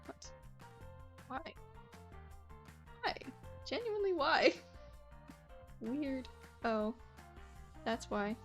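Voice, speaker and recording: A woman's voice: sits high, very animated, drawn-out words, long pauses, soft background music. A woman streaming while she animates, chatting in an easygoing, giggly way and often trailing off.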